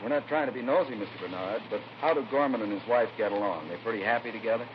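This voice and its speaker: A plainspoken, probing police detective played by a male actor in an old radio crime drama. He speaks low and fast, clipped and polite. The recording is dull and clearly noisy. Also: natural voice.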